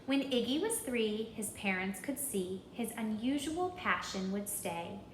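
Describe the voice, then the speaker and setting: A woman reading a children's story aloud in an expressive, deliberate, rhythmic way, pausing to put questions to listeners. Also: mid-range voice, reverberant, a little hiss or hum, low-quality recording. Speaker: storybook reader.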